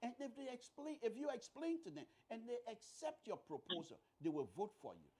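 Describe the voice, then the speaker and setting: Middle-aged man, Liberian accent, high voice, emphatic political talk, halting and repetitive phrasing. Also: wildly swooping pitch, a little mellow, slightly rough.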